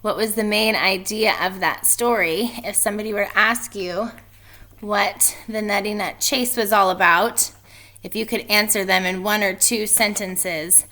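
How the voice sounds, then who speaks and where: A woman's voice: medium pitch, fairly even intonation, unhurried, pushed and bright. A woman reading a children's storybook aloud and talking informally to pupils like a teacher.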